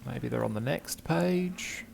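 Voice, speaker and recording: An older man with a gravelly, creaky voice of medium pitch commentates on a game he plays. He is casual and hesitant, muttering as he goes. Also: animated, takes it slowly, soft background music. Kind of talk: casual let's play commentary.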